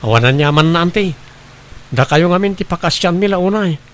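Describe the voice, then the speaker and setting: A man's voice: high voice, lively intonation, moderate pace. A man playing a concerned, helpful family man in a radio drama, speaking earnestly. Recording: faint background noise.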